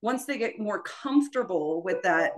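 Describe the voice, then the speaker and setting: A woman's voice: mid-range voice, animated, normal speed. A woman giving informal spoken instruction as a voice teacher, tentative and self-deprecating, often trailing off. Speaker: voice teacher leading a workshop.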